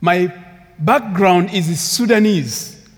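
Ugandan man, guest preacher; slow, earnest delivery, slightly high voice, reverberant church hall. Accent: Ugandan English. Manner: earnest, unhurried preaching with repeated phrases and rising emphasis. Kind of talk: sermon. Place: church sanctuary with long reverb.

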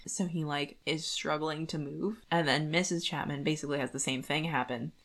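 A woman co-hosting a podcast, chatting casually about a book in an animated, colloquial way. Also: low voice, normal speed. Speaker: podcast co-host. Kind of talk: casual book discussion chat.

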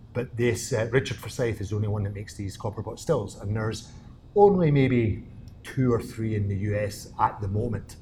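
A middle-aged man from Scotland speaks in a low voice with a Scottish accent. He tells his story conversationally as a guest, with faint room noise behind him. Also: dark tone. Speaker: distillery owner, interview guest.

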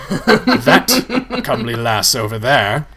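A man's voice: huge pitch swings, a bit bright, a little hiss or hum, heavily acted. A man doing a pompous, mocking tall ghoul. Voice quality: deep, slow and mock-courtly.

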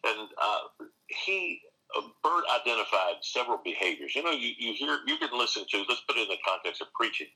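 A pastor and seminary teacher answering interview questions earnestly, with frequent restarts and rising emphasis. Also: male voice, on the low side, sing-song, brisk, sharp tone, slightly rough, rough recording.

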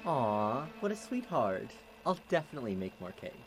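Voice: medium pitch, sing-song, moderate pace, music in the background, natural voice, poor audio. A performer playing a fussy, affectionate, theatrical male homemaker partner in an audio drama, coy and mock-scolding. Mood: fond, teasing.

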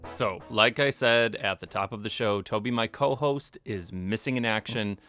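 A man's voice: fairly low pitch, moderate pace, music in the background. A man hosting a comedy podcast with casual banter, easygoing and reassuring, a little hesitant. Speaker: podcast host.

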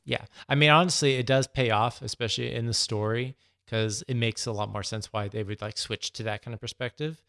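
A man's voice: mid-range voice, fairly even intonation, a little fast, gravelly, few pauses, fairly bright tone. A man co-hosting a casual film discussion, dropping "like" often into his sentences. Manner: peppers speech with "like". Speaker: podcast co-host.

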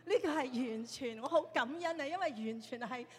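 Woman, Cantonese accent, native Cantonese, preaching, laughs a lot, high voice, long hall reverb. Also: a little fast. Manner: laughs often, searches for words. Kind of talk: sermon with interpreter. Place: church hall.

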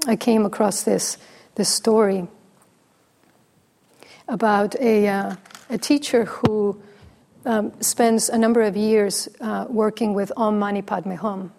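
Female dharma teacher, soft and pausing, a bit of a creaky edge. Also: medium pitch. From Mexico. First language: Spanish. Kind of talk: dharma talk with storytelling.

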